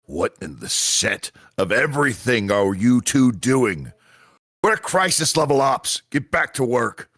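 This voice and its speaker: A man playing a stern, disdainful executive agent in a comedy table read, speaking pompously and deliberately. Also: mid-range voice, sing-song, a bit bright, no room sound, clean studio sound. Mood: exasperated.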